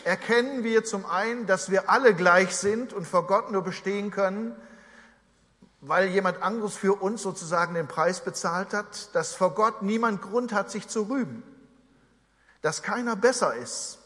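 A man's voice: high voice, normal speed, fairly bright tone. A man in his forties from Germany preaching a sermon, earnest and conversational with asides to the congregation. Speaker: pastor. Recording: long hall reverb.

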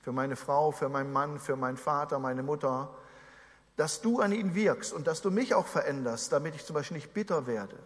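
Male pastor, 40s, from Germany; earnest conversational sermon delivery, a touch high, reverberant hall. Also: fairly quick. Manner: earnest, conversational preaching with asides to the congregation.

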